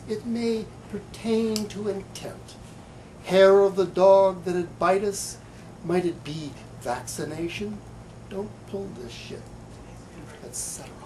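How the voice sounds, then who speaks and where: Slow, deliberate male poet reading aloud. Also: high voice. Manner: halting, hesitant asides and deliberate recitation. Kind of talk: live poetry reading with informal introductions. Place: bookstore reading event. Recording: light background room noise.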